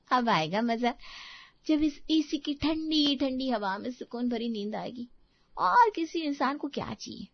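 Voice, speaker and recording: A woman's voice: a little high, animated, unhurried, creaky, dry recording, muffled recording. A woman playing an entitled, demanding married daughter in a moral story, with a whiny, insistent delivery. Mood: smug. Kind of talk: animated moral story voice acting.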